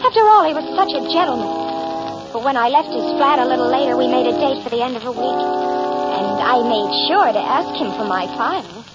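An actress playing a coy, cheerful murderess in old radio drama, chatty and mock-innocent. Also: female voice, sits high, lively intonation, fairly quick, loud music behind the voice. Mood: confiding, smug. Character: cheerful, coy murderess narrating her crimes, primly self-justifying. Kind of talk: 1940s-50s radio drama acting. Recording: dull old radio broadcast, noisy.

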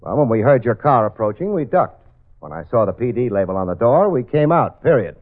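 A man's voice: mid-range voice, very animated, fairly quick, lightly acted. An actor playing a wry, wisecracking male private detective who narrates an old radio drama. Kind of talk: 1940s radio acting. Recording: tinny old radio broadcast with music.

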